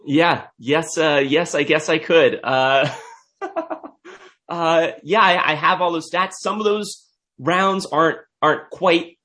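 A hoarse young man hosting a podcast, speaking casually and hesitantly. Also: fairly high pitch, big pitch swings, slow pace, bright, forceful tone. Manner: hesitant, lots of uh fillers. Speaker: podcast host.

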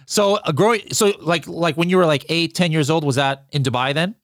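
A man's voice: on the high side, a little fast, dry recording, studio quality. A man hosting a podcast interview, upbeat and jokey, with frequent fillers. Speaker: podcast host. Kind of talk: casual interview chat.

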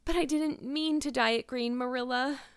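Lady doing a dramatic young girl from a storybook, high clear voice, all earnest despair and pleading. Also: a little fast, dry recording, studio quality. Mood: earnest, defensive. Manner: melodramatic, pleading, effusive.

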